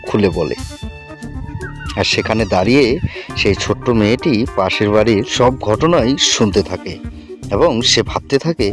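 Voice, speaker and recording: A man's voice: on the low side, animated, a little slow, long pauses, over music. A man narrating a scripted cartoon story.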